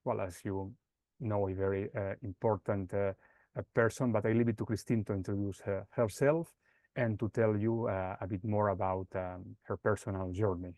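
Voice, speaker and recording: A man hosting a conversational podcast interview, speaking in a halting, hesitant way. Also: lowish voice, steady tone, a little mellow, no echo. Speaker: podcast host.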